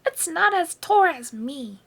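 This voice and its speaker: A woman voicing a bossy old grandmother who shouts and scolds in a high, shrill voice. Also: lively intonation, measured pace, vocal fry, heavily acted. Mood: shouting shrilly. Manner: loud, scolding, screeching.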